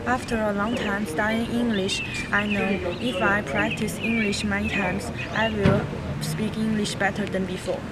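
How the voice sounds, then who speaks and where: A woman's voice: mid-range voice, flat delivery, normal speed. A woman English language student reciting a short prepared statement in a simple, earnest way. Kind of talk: scripted personal statement. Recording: loud crowd noise behind.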